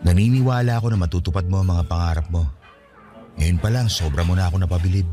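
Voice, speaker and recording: An actor playing an earnest young man who gently and sincerely asks a coworker for a date. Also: low-pitched, fast talker, over music, natural voice. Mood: understanding. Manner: sincere, gentle, openly affectionate. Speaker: radio drama actor. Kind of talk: radio drama acting.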